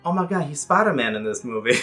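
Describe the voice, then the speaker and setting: Guy around 30 doing a sassy casual review, bright punchy voice, super swoopy delivery, music behind. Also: on the high side, fast talker. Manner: animated, effusive, sassy, with slang. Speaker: YouTube movie reviewer. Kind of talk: casual opinionated comedic review.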